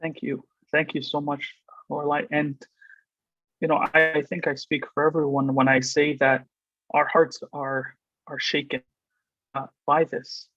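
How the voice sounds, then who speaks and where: A man hosting an online panel, thanking the previous speaker in a measured, sincere way. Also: fairly high pitch, little pitch movement, fairly bright tone, dry recording, muffled recording. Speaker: panel host.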